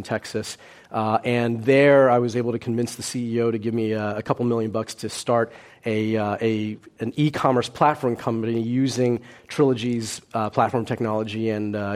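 A man from the United States, a panel guest, telling his life story in a halting, conversational way with many fillers. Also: medium pitch, a little mellow.

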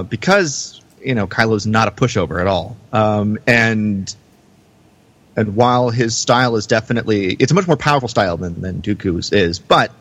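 A man hosting a casual podcast, talking in a halting way with repeated words. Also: on the low side, a bit bright. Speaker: podcast host.

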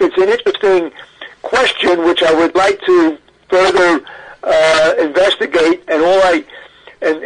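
An older man as an interview guest, reminiscing in a halting way as he thinks aloud. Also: on the high side, fairly bright tone, poor audio. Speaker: guest archivist.

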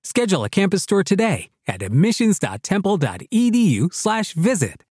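A woman's voice: medium pitch, sing-song, normal speed, a little mellow, husky. A woman reading a scripted promotional advertisement as an announcer.

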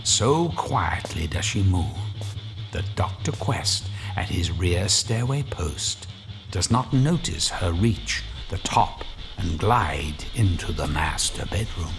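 An actor playing an ominous storyteller, narrating a horror drama in a suspenseful, deliberate manner. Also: male voice, low voice, animated, over music, exaggerated voice. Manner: suspenseful, deliberate, drops toward whispers.

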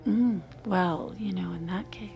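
Woman playing wife, gently exasperated, slow n hesitant, bit of vocal fry, audio drama. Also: mid-range voice, faint music behind, natural voice. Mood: dryly patient. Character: restrained, uneasy wife, gently exasperated with her husband. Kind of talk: naturalistic audio drama acting.

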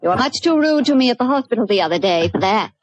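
A woman's voice: fairly high pitch, lively intonation, fast, dull audio. An actress playing a haughty, playful aristocratic lady, with grand, teasing, polished speech in a 1940s radio drama. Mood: imperious, arch. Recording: vintage radio recording.

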